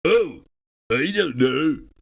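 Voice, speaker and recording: A woman's voice: low voice, animated, very slow, gravelly, husky, pitched below the performer's own voice, heavily acted. A woman voicing a big scruffy boy monster, slobbery, pushy and easily offended, in a children's storybook. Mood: menacing, slobbery. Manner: slurping, whining.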